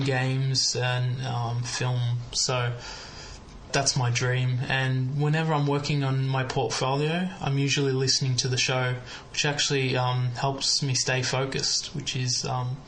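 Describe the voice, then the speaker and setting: Even-toned Australian man, halting delivery. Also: medium pitch. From Melbourne, Australia. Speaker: listener calling in. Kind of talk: prepared voicemail message. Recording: clear steady background noise.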